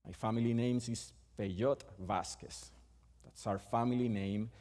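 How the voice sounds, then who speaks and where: A middle-aged Puerto Rican man whose first language is Spanish gives a sermon in a Puerto Rican accent. He talks haltingly, with frequent restarts and fillers, at a middle pitch. His voice rings out with a long reverb, as in a big hall. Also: fairly even intonation, mellow. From Puerto Rico. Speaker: preacher.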